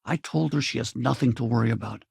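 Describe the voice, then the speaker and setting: A gruff, easygoing middle-aged space veteran for a video game. His voice is heavily gravelled and rough-edged, recorded close and dry. He talks in a folksy, wry, casual way, like a dad with some regrets. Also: medium pitch, fast talker, a little mellow, no room sound, exaggerated voice. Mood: earnest, hopeful. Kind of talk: video game companion dialogue.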